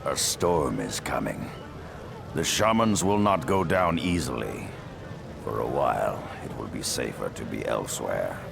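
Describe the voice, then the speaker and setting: An actor playing a grim, proud and vengeful beast-warrior rebel leader in a video game, with a deep gravelly growl and a terse, commanding delivery. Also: male voice, animated, unhurried, noisy background, exaggerated voice. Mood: wry, calm. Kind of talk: dramatic video game acting.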